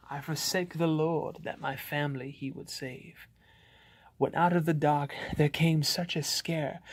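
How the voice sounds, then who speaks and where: Man reading as a slow, ominous horror-poem narrator. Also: on the high side, no echo. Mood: ominous dread. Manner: theatrical, ominous, drawn-out delivery. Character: frightened father narrating a scary Christmas demon poem. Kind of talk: dramatic storybook poem reading.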